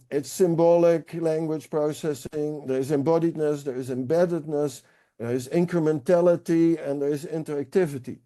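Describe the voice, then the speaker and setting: A man explains research in an interview. His voice sits a little high but sounds deeply mellow and warm. He pauses often and restarts his sentences as he thinks. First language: Dutch. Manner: thoughtful, halting with frequent fillers and restarts. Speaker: senior researcher guest.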